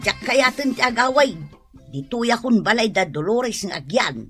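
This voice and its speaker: An actress with an Ilocano accent playing a patient, pleading elderly mother with a husky voice in a radio drama. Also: on the high side, expressive, a little slow, a bit bright, faint music behind. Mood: tearful, scolding.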